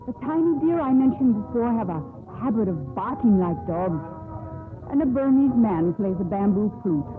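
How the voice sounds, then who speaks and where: Feisty young sea captain's daughter, lively swooping voice, old muffled radio drama. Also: female voice, a little high. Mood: brisk. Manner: spirited, self-assured. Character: bold, headstrong young seafaring captain's daughter, narrating. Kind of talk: 1950s American radio drama acting and narration. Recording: muffled lo-fi 1950s radio broadcast.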